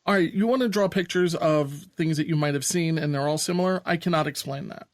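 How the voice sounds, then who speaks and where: A man's voice: a little high, brisk, no room sound, clean studio sound. A man co-hosting a podcast, giving blunt opinions in a casual, easygoing way. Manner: blunt, opinionated, laughs easily. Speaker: podcast co-host. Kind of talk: casual chat.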